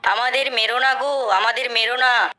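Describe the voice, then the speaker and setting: A woman's voice: a little high, fairly even intonation, moderate pace, pushed and bright. A woman voicing a young prince, a protective elder brother, earnestly in a cartoon story. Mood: frightened.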